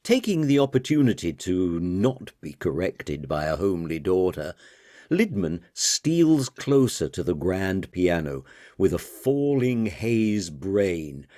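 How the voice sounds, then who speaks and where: A man reading literary fiction as an audiobook narrator, with a measured, deliberate delivery. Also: deep voice, a little mellow, no room sound, studio quality.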